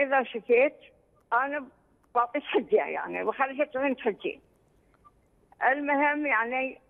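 A woman calling in by phone, telling a long rambling personal story with some anxiety. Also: a little high. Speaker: caller. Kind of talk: phone-in question to a religious scholar. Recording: tinny phone line with long reverb.

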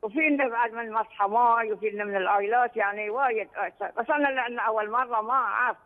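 A woman's voice: fairly high pitch, fairly even intonation, racing. A woman calling in by phone, telling a long rambling personal story with some anxiety. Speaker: caller. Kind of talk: phone-in question to a religious scholar. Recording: tinny phone line with long reverb.

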